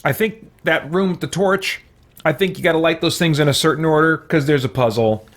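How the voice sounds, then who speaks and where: A man's voice: a little high, a little fast. A man giving casual gameplay commentary on a livestream, thinking aloud in a rambling, hesitant way and chatting with viewers.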